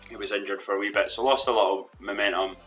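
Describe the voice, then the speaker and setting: A young man commentating his own football management game in a rambling, hesitant way, his voice a little hoarse from losing it. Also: lowish voice, steady tone, fast, a bit bright. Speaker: gaming commentator. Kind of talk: let's play commentary. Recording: faint background music.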